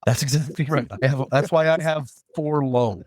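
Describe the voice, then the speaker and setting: A man from interior British Columbia guesting on a podcast, telling animated stories and acting out what people said. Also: fairly high pitch, moderate pace, dry recording, studio quality. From interior British Columbia, Canada. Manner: animated storyteller, acts out quoted talk.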